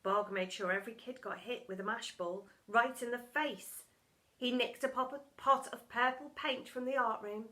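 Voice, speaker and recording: A woman reading a children's storybook aloud as expressive narration, with dramatic, emphatic storytelling. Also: fairly high pitch, normal speed. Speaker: primary school story reader.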